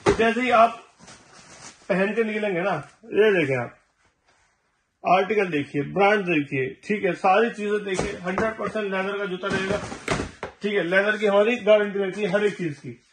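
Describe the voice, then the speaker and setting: A man in his thirties giving a sales pitch for shoe stock to the camera, returning again and again to "thik hai ji". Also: normal speed. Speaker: shoe wholesaler. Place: large tiled warehouse stacked with shoe boxes. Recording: echoey warehouse, mic at a distance.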